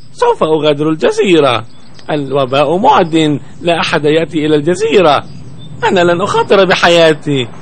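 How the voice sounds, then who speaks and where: A man's voice: a little high, a little hiss or hum, lightly acted. A man voicing a scheming, boastful snake in a dubbed children's cartoon, with a theatrical, gloating manner.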